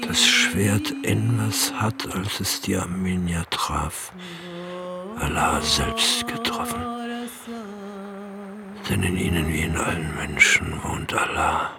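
Solemn Turkish elder with sweeping pitch. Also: male voice, huge pitch swings, vocal fry, exaggerated voice. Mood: solemn lament. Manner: solemn, declamatory, deliberate. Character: dignified devout Turkish Aga, grave and imploring. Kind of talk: literary radio drama acting. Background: music.

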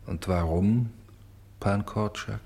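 Old weathered kerbstone for radio play: low-voiced, unhurried, humble, pensive, hushed. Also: male voice. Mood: humble, questioning. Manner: measured, hushed, dropping to whispers. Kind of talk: literary radio play monologue.

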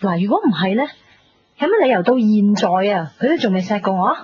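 An actress with a husky voice and a Hong Kong Cantonese accent playing a chatty, blunt young woman confiding in a friend in a radio drama. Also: medium pitch, very animated, brisk. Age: young adult. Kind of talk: casual radio drama dialogue. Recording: dull 1990s radio recording.

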